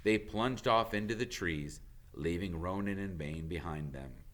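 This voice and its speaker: A man reading a story aloud as an animated, dramatic narrator. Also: deep voice, a little slow. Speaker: audiobook reader.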